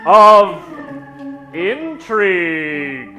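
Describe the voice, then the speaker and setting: Slow, theatrical male radio show host. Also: sits high, sing-song. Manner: theatrical, mock-ominous, dramatic pauses. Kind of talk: old-time radio horror spoof announcing and storytelling. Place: theater stage before an audience. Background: live keyboard music.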